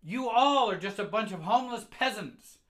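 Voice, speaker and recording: A man voicing an enraged, abusive mother who shouts accusations and insults at a child. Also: high-pitched, expressive, normal speed, pitched above the performer's own voice. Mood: furious scolding.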